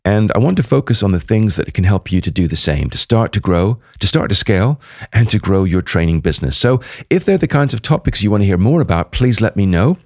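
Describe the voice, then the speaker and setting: A hoarse man hosting a podcast, wrapping up a solo episode and previewing guests, talking rather quickly with frequent restarts. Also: lowish voice, big pitch swings, darkish tone, no room sound, clean studio sound. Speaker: podcast host. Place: at a sales conference.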